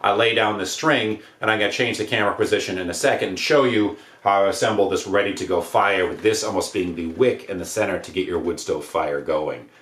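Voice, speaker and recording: American male DIY presenter, low-pitched, casual and rambling, recorded in an echoey living room. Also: fairly quick, hardly any pauses, a bit bright. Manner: offhand, slangy, rambling. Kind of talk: casual hands-on how-to demonstration. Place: indoors, living room by wood stove. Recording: roomy echo, noise of handled objects.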